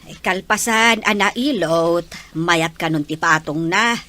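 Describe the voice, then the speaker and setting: A woman's voice: on the low side, unhurried, pushed and bright. A woman acting an elderly grandmother, caring but scolding, in an audio drama with an Ilocano accent. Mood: caring, explaining.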